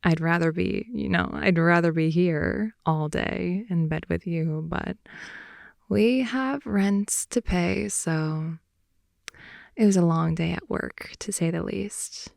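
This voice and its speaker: A woman improvising an intimate ASMR roleplay as a playful, affectionate girlfriend in bed, speaking softly, often in whispers and sighs, close to the microphone. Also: lowish voice, slow, creaky, dry recording. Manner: soft, often whispery, sighing, teasing. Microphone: close microphone, dry intimate sound.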